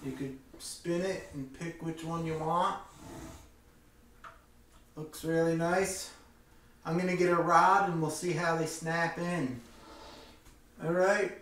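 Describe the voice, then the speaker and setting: Slow-talking man in a very echoey room. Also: fairly high pitch, fairly even intonation, a bit bright. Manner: folksy, informal, plain-spoken. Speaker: hobby vlogger demonstrating a product. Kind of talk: casual hands-on demonstration. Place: small indoor room at home. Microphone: camera a few metres away. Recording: very echoey room, distant mic, faint noise.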